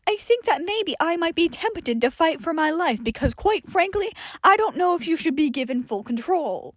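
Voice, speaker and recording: A woman's voice: very high-pitched, very animated, moderate pace, dry recording, studio quality, voice pushed up. A woman voicing a prickly, indignant educated man in an audio story, huffy, scornful and precise.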